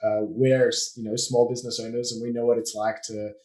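A man with an Australian accent, a business owner speaking as a guest on a podcast in a relaxed, explanatory way with frequent fillers. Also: mid-range voice, brisk, poor audio. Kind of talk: casual conversational interview.

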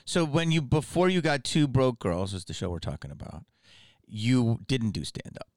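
A man hosting a podcast, chatting casually with a guest, laughing often and firing quick follow-up questions. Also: medium pitch, animated, darkish tone, dry recording, studio quality. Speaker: podcast host. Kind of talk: casual interview chat.

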